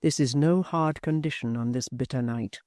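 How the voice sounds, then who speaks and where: A man voicing a proud, bitter young woman in a storybook reading, speaking low and steady. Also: normal speed, no echo, clean studio sound, natural voice. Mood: cold. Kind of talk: audiobook character voice.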